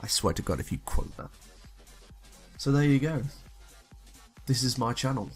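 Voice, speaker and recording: A man's voice: medium pitch, expressive, normal speed, faint music behind. A young man reading a story aloud and talking casually as a comic YouTuber. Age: young adult. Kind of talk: scripted story narration and casual comic commentary.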